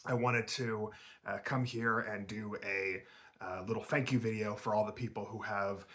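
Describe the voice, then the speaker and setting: A man hosting his own channel, giving an off-the-cuff, halting and rambling talk to viewers. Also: fairly low pitch, fairly even intonation, fairly bright tone. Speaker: channel creator and host. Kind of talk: off-the-cuff thank-you talk to camera.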